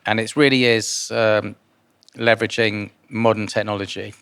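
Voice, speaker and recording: A man with a fairly low, smooth and resonant voice, speaking as an interview guest. His answers are informal and hesitant, with words repeated as he goes. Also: flat delivery, moderate pace, a bit bright. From born in Guyana. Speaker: interviewee, philanthropist and investor.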